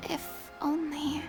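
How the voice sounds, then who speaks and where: An actress playing a poised, wistful young theatrical star in a game, measured and refined. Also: female voice, high voice, exaggerated voice. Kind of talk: game character acting.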